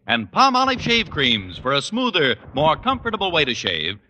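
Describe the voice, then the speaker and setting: Sharp, polished old-time radio announcer man. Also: on the high side, wildly swooping pitch, moderate pace. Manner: polished, emphatic. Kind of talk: 1940s-50s radio announcing, scripted narration. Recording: noisy old radio recording, long reverb.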